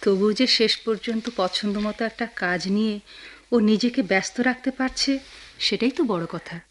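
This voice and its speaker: An actress with a Bangladeshi accent playing a tender, motherly married woman in a radio drama, soft-hearted and expressive. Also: mid-range voice, moderate pace, natural voice. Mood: fond, approving. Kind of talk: Bengali radio drama acting.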